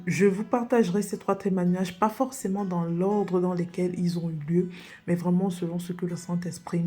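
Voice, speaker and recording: An earnest woman from Cameroon gives a personal faith testimony as a podcast guest. Her voice is low-set, dark and mellow with a husky edge, and she often pauses on fillers and restarts her sentences. Faint guitar plays softly underneath.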